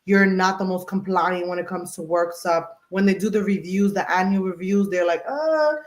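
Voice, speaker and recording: A woman hosting a podcast in casual chat, talking in an animated, emphatic way and explaining with everyday examples. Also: lowish voice, moderate pace, echoey room. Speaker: podcast host.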